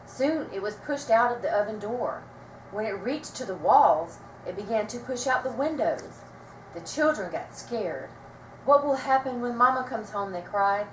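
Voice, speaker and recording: A woman reading a children's story aloud with expressive, dramatic storytelling delivery. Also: medium pitch. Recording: roomy, distant mic, faint noise.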